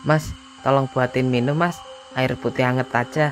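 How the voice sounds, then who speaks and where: A man voicing a withdrawn young Indonesian hiker who speaks briefly and casually in an animated horror story. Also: mid-range voice, moderate pace, lightly acted. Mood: subdued. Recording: faint music behind the dialogue.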